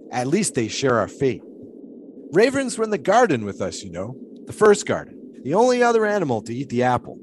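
A man's voice: medium pitch, slight background noise, lightly acted. A man playing an eccentric, devout 1920s pole-sitter in an audio drama, musing in folksy, old-fashioned storytelling phrases. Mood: musing, wry.

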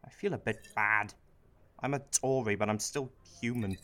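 An actor playing an anxious, guilt-ridden Prime Minister in a satirical radio play, whiny and flustered. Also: male voice, lowish voice, normal speed, fairly bright tone, natural voice.

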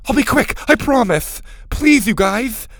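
A man's voice: very high-pitched, expressive, measured pace, a bit bright, dry recording, pitched above the performer's own voice, heavily acted. A man voicing an eager, obsessed young male tinkerer in a storybook, with an urgent, pleading manner. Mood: desperate pleading.

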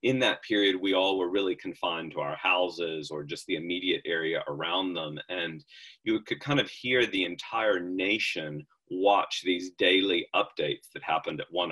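Bright-voiced American man, deep and enthusiastic. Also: low-quality recording. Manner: enthusiastic, frequent restarts and fillers. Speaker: lodge owner. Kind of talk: interview over a call.

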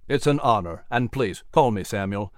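A man's voice: mid-range voice, expressive, moderate pace, dry recording, studio quality, close to an everyday voice. Man voicing a humble, earnest young preacher. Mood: warm, respectful. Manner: plain-spoken, gentle, sincere.

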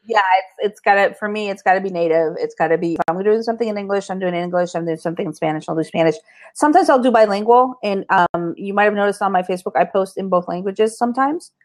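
A woman raised in Costa Rica, a marketing consultant chatting as a guest on a livestream show, bubbly and quick to laugh. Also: medium pitch. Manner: bubbly, laughs often, bilingual. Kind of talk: casual livestream chat.

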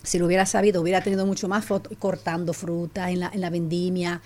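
A middle-aged Dominican woman with a Dominican Spanish accent, telling her story as a guest in an animated, casual way with frequent restarts. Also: fairly low pitch, quick pace. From Dominican Republic. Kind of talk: casual chat.

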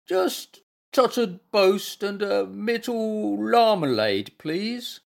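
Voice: medium pitch, animated, slow, gravelly, darkish tone, pitched above the performer's own voice. A storyteller voicing a sulky little toy bear in a children's story, muddling words as it whines and groans. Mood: groaning, pitiful. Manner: pretends illness, groaning spoonerisms.

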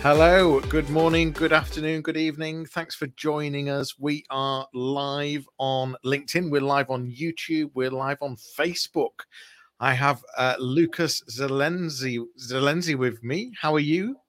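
A man's voice: a little high, fairly even intonation, measured pace, fairly bright tone, soft background music. A man hosting a marketing podcast, presenting to listeners and interviewing a guest. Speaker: podcast host and digital marketer. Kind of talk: scripted intro and live interview hosting.

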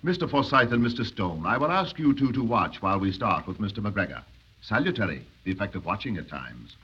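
Villain for vintage radio play: urbane, cruel khan, mock-courteous, husky, widely varied pitch. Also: male voice, big pitch swings, fairly quick, sharp tone, full character voice. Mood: silkily sinister. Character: suave, cruel Oxford-educated mountain khan, a villain. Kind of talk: 1930s radio drama acting. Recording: dull 1930s radio broadcast.

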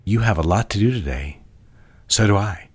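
A male actor as a jealous, controlling lover in an audio drama, with a very low voice. He gives orders in a measured, intimate way, over noticeable background noise. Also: animated, lightly acted. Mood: controlling, tender. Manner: measured, directive, intimate murmuring.

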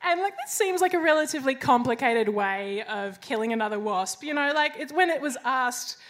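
A woman hosting a live science comedy debate on a bar stage, quick and jokey, giggling between lines into a stage microphone. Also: sits high, lively intonation.

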